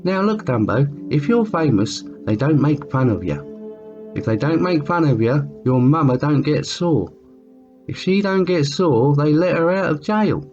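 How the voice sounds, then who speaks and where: A man's voice: a little high, animated, unhurried, a little mellow. Man as a streetwise, loyal little mouse. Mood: persuasive. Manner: streetwise, folksy grammar. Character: tough, loyal little male circus mouse. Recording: muffled lo-fi sound with music behind.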